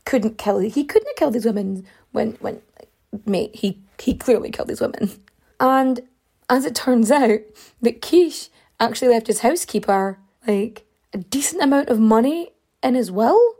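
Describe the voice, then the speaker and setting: A woman with a fairly high but soft, mellow voice, talking her way through a story in a loose, chatty way with lots of fillers. Her pitch jumps up and down as she gets into it, and her voice is wearing thin and a little hoarse. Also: animated, moderate pace. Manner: chatty, many fillers, big pitch swings. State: losing her voice. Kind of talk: casual storytelling.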